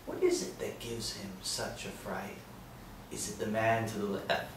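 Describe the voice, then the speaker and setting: An actor at a table read playing a sly, taunting riddling villain who speaks in sing-song rhymes. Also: male voice, fairly low pitch, flat delivery, unhurried. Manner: sing-song rhyming taunts, rising to shrieks. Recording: echoey room from far off.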